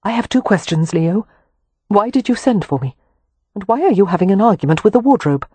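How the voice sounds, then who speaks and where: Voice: medium pitch, lively intonation, fairly quick, a little mellow, slightly rough, no room sound. A narrator voicing a young woman who speaks with urgent, demanding force. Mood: composed. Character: composed elder sister, then frantic.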